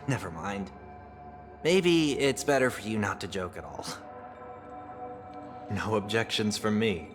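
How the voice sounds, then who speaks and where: An actor playing a calm, courteous and melancholy young man in a game cutscene, speaking in a measured, formal way. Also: on the low side, in a large hall. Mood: gently reflective. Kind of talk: scripted game cutscene dialogue. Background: music.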